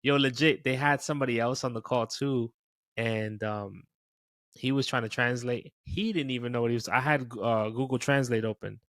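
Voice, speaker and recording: This is a relaxed New York man in his thirties whose accent leans Dominican. He chats casually and says "bro" a lot. His voice is close and dry, with no room sound, and sits at a middle pitch. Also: moderate pace, dry recording. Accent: New York, Dominican-influenced. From New York City. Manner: laid-back, peppers speech with "bro". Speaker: podcast guest. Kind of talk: casual chat.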